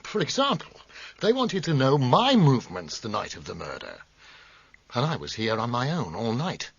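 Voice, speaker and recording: An actor playing an earnest, anxious, concerned friend with emphatic delivery in a radio drama. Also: male voice, mid-range voice, huge pitch swings, normal speed, vocal fry, slightly rough, dry recording, full character voice. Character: jittery, concerned musician friend, urging help. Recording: dull, slightly muffled radio recording.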